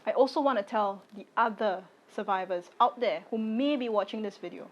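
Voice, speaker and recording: A young woman in her late twenties speaks with a voice of ordinary pitch for a woman. She talks in short, measured phrases that stay firm and deliberate as she makes her personal statement to the camera. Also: dull audio. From Singapore. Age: late 20s. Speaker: content creator.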